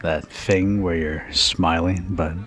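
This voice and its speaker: Man playing a deep, slow-talking flirty bartender. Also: fairly even intonation. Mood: teasing. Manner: teasing, unhurried, with soft chuckles and pauses. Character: confident protective male bartender, flirty and teasing. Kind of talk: ASMR roleplay, intimate second-person monologue.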